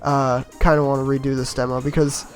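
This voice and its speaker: A man with a slightly raspy voice from being a bit sick, giving easygoing, rambling voice-over commentary on his own game run and laughing at his own mistakes. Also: medium pitch, little pitch movement, fairly quick, faint music behind. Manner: easygoing, rambling, self-mocking with chuckles. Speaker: gameplay commentator.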